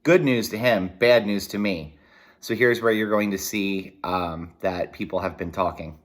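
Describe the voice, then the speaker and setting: A man reading a children's novel aloud in a folksy, expressive way, with short explanatory asides. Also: low-pitched, a little slow. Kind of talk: read-aloud narration with brief explanatory asides.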